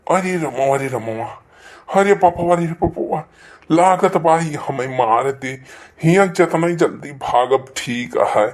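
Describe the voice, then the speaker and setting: A man's voice: on the high side, slow pace. A man voicing a sly, nervous village husband with an Awadhi accent in a rural cartoon comedy. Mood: worried, fearful.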